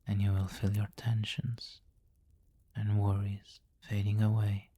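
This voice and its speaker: Man voicing a low, hushed, possessive vampire king. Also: completely flat delivery, no room sound. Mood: soothing, teasing. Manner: formal, deliberate, domineering, often dropping to a whisper. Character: possessive, seductive, commanding male vampire king. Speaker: audio roleplay performer. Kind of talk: slow intimate ASMR roleplay.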